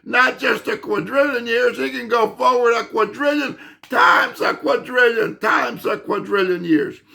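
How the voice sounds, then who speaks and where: A man explaining physics in an unscripted monologue, insistent and emphatic, halting with fillers and restarts, and losing his voice. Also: very high voice, vocal fry, bright, forceful tone. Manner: insistent, emphatic, repeats himself. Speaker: channel host explaining physics.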